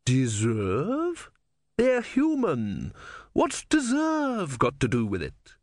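Picture a man playing a haughty goddess of the sea in a storybook. Her voice is lifted high and swoops about, drawing words out with cool disdain, recorded very dry. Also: huge pitch swings, no echo, full character voice. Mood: disdainful. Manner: slow, drawn-out, disdainful. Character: haughty female sea goddess, coolly dismissive of humans.

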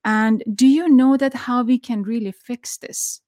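A woman's voice: a little high, fairly even intonation, a little slow, dark tone, no room sound, clean studio sound. A woman from Iran hosting an interview, speaking English in an earnest, searching way. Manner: earnest, searching, non-native phrasing. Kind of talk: conversational interview.

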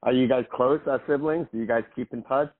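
A man's voice: medium pitch, fairly quick. A man who is a pastor hosts a podcast interview, chatting in a friendly way and laughing easily. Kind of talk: casual interview. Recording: tinny phone-like line.

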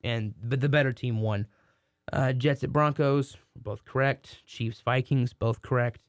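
A hoarse man co-hosting a sports podcast, talking casually and restarting his sentences often. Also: medium pitch, fairly even intonation, measured pace, no echo, studio quality. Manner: rambling, many restarts. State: hoarse from a cold. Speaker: podcast co-host.

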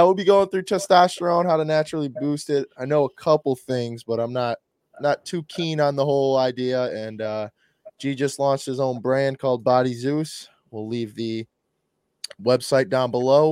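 A man's voice: mid-range voice, steady tone, normal speed, darkish tone, clean tone, no echo, studio quality. A young man hosting a casual podcast, upbeat and loose, laughing easily. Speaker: podcast host.

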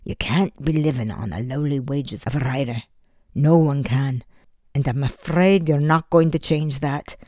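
A man voicing a stern, lecturing Scottish merchant who is a middle-aged foster father, with a gruff Scottish accent. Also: medium pitch, a little slow, mellow, no echo, clean studio sound, natural voice. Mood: gentle.

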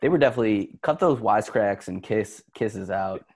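A young man with a low, gravelly voice chats about a movie on a podcast call. He restarts his sentences often and throws in crude jokes. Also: expressive, brisk, a little mellow. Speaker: podcast co-host. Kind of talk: casual chat about a movie.

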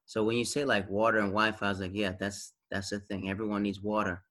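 A man from the United States, a podcast guest chatting casually and telling relaxed stories, acting out the reactions he quotes. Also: on the low side, fast talker. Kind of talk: casual conversational interview.

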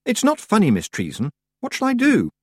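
A man's voice: high voice, huge pitch swings, fairly quick, husky, dry recording, studio quality, voice pushed up, exaggerated voice. A man voicing an earnest young witch girl who speaks anxiously and pleadingly. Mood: upset.

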